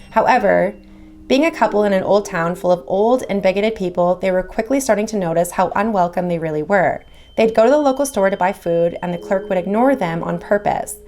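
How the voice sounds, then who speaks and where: A woman's voice: medium pitch, darkish tone. A woman reading horror stories aloud, with casual chatty asides between them. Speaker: horror story reader.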